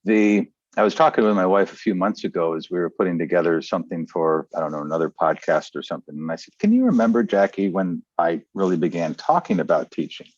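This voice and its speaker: A man's voice: low voice, quick pace, a little mellow, no echo. A middle-aged man, an educator, reflectively telling his life story as a podcast guest. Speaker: educator and entrepreneur. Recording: dull, lo-fi audio.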